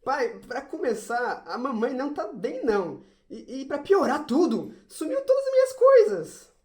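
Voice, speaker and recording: A woman's voice: sits high, very animated, a little slow, darkish tone. A woman acting as a sulky, easily flustered schoolboy in an amateur audio drama, stammering with exasperation. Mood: alarmed. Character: whiny, sulky schoolboy who hates science, easily flustered.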